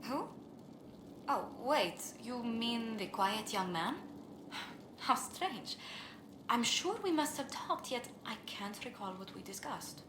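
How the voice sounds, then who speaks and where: A woman playing a courteous, tactful court diplomat in a fantasy game, speaking in a polite, measured, formal way. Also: a little high, lively intonation. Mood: puzzled. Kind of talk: scripted game dialogue. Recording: echoey room, clearly noisy game capture.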